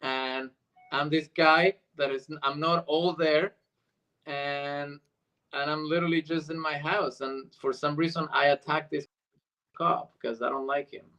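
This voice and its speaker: A man's voice: fairly high pitch, fairly even intonation, a little slow, sharp tone, rough recording. A man from Argentina, a native Spanish speaker, telling stories casually as an interview guest. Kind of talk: casual chat, storytelling.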